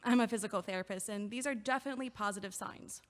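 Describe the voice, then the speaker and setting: An actress playing a friendly, chatty neighbor who is a physical therapist, talking in a warm, easygoing way in an audio drama. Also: female voice, medium pitch, quick pace, in a large hall, natural voice.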